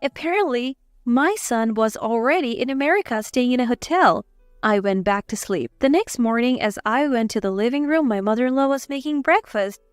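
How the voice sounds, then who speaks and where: A woman's voice: a little high, animated, normal speed, clear, resonant tone, no echo, studio quality. A woman reading a scripted, dramatized first-person story as its narrator. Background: background music.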